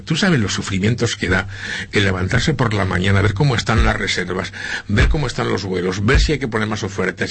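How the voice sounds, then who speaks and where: Middle-aged Spanish politician, husky rough voice, reflective and halting in interview. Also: male voice, on the low side, fairly bright tone. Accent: Spanish, with a slight Argentine lilt. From Spain. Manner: earnest, reflective, with frequent hesitations and repeats. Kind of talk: interview conversation.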